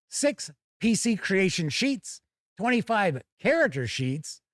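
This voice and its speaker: Guy doing game news and reviews, chatty and emphatic, unhurried pace, dry close sound. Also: sits high, no room sound. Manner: folksy, conversational, emphatic. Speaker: host of a tabletop gaming news show. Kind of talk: enthusiastic news and review commentary, part scripted, part off the cuff.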